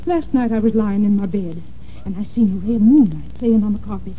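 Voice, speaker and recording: An actress playing a superstitious, stubborn older housekeeper with folksy, rustic dialect in an old-time radio drama. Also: female voice, mid-range voice, lively intonation, brisk, natural voice. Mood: spooked, insistent. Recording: tinny, noisy old radio recording.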